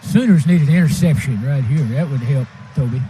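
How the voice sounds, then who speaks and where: A man's voice: mid-range voice, a little fast, warm tone, slight background noise, poor audio. A man giving short, conversational color commentary during a live football radio broadcast.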